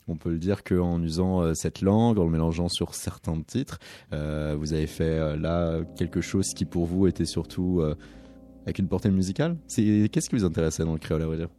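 A man hosting a radio interview, asking measured questions with frequent hesitations. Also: low voice, dark tone, faint music behind. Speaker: radio host.

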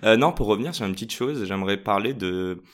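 A man's voice: lowish voice, animated, fairly quick, fairly bright tone. A man hosting a podcast, opening with a scripted welcome and then asking casual, friendly questions. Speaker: podcast host.

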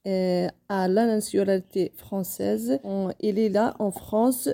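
A woman's voice: mid-range voice, fairly even intonation, slow pace, creaky, mellow. A woman from Algeria giving personal testimony in a hesitant way.

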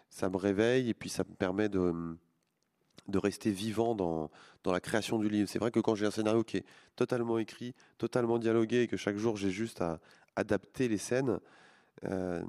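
A man's voice: lowish voice, a little mellow. A man in his forties, a comic book artist, answering interview questions in a hesitant way, with many restarts and fillers.

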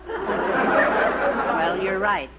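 A man's voice: sits high, wildly swooping pitch, very slow, a loud crowd, exaggerated voice. A man playing a fretful, fatherly middle-aged man in a 1940s radio sitcom. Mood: rueful. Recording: muffled, faintly noisy old radio recording.

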